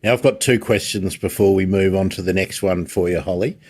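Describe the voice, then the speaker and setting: A man's voice: sits low, a little fast, a little mellow. A man hosting a casual interview, asking questions in an informal way and feeling out his phrasing. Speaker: interviewer, livestream host.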